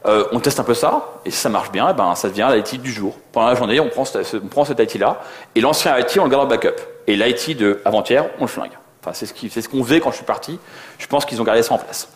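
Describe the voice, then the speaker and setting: Male conference speaker, very quick, joking and colloquial, roomy sound. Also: medium pitch. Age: middle-aged. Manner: joking, self-deprecating, colloquial. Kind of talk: informal technical conference talk. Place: indoor conference venue. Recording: echoey conference room.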